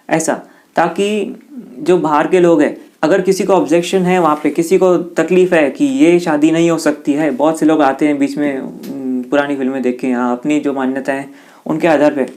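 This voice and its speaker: A man's voice: fairly high pitch, warm tone. A man with an Indian accent giving an informal explainer lesson, speaking conversationally to listeners and sometimes reading passages aloud. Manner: conversational, addresses listeners directly, reads English passages haltingly. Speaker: exam-prep teacher explaining law.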